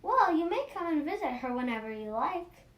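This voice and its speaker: Woman voicing a gracious, lively storybook neighbor lady. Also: normal speed, heavily acted. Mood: grateful. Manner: welcoming, gracious. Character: friendly, grateful woman neighbor who owns a cat. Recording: roomy echo, faint rumbling noise.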